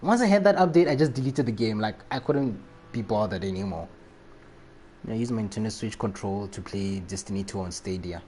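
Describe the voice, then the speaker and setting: A man's voice: on the low side, expressive, brisk, mellow. A man chatting casually and jokily on a game livestream, reacting in slangy, loose phrases. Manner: jokey, slangy, often chuckling. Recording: faint background noise with game sound.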